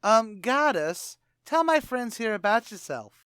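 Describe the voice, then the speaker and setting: Man acting a high-pitched, blustery tavern bluffer. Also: sing-song, a little slow, a bit bright, clear, resonant tone, no echo, studio quality, exaggerated voice. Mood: bluffing. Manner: boastful, stammering, ungrammatical. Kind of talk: 1940s radio comedy acting.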